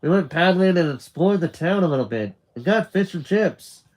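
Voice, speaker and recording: A man voicing an excitable, affectionate teenage boy in a story, exuberant and eager. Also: high voice, slow pace, pitched above the performer's own voice, full character voice, rough recording. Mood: cheerful, chatty.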